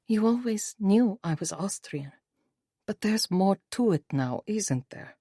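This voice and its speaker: A woman voicing an earnest, gentle young bride who speaks in a questioning way, as an audiobook character. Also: mid-range voice, big pitch swings, a little slow, dry recording, studio quality, voice pushed up. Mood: questioning, uneasy.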